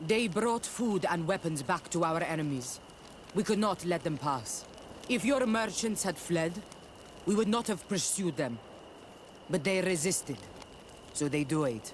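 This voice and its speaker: A man's voice: high-pitched, a little hiss or hum. A man playing a proud, stern rebel warrior leader in a video game, speaking bluntly and solemnly. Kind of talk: scripted video game cutscene dialogue.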